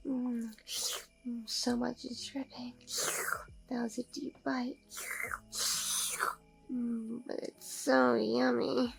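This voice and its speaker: A woman playing a seductive, commanding mature female vampire in an intimate ASMR roleplay, breathy, purring and often whispering. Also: fairly high pitch, little pitch movement, faint music behind, heavily acted, poor audio. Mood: savoring, sensual. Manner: slow, drawn-out, purring, domineering.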